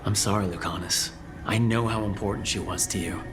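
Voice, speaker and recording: An actor playing an earnest, heroic leader in a fantasy game, speaking in a measured, solemn, resolute way. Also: male voice, lowish voice, steady tone, natural voice. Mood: sombre resolve. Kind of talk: dramatic video game acting. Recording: music behind.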